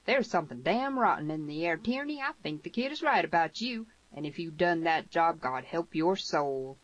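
A woman's voice: on the low side, lively intonation, moderate pace, no room sound, lightly acted. A woman voicing a tough, blunt old cowboy with folksy, emphatic speech in a western audiobook, on a dull recording. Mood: solemn. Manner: folksy rustic grammar, emphatic. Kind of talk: western audiobook character reading.

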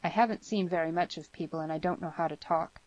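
A woman voicing a grave, earnest young man in an audiobook, speaking gently and solemnly. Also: sits low. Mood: shy, earnest. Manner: slow, gentle, solemn, plain-spoken. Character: grave, earnest, gentle twenty-two-year-old man. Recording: slightly muffled lo-fi audio.

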